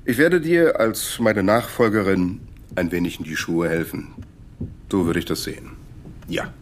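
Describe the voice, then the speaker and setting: A man's voice: sits low, a little slow, a little hiss or hum. An actor playing a self-satisfied, patronising older male boss in a German audio drama. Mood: smug, patronising. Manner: pompous, leisurely condescending.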